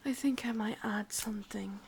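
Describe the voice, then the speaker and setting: A woman playing a sadistic, possessive witch with an English accent in a whispered ASMR roleplay, breathy, teasing and menacingly sweet. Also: mid-range voice, fairly even intonation, moderate pace, a little hiss or hum, close to an everyday voice. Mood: coaxing, demanding.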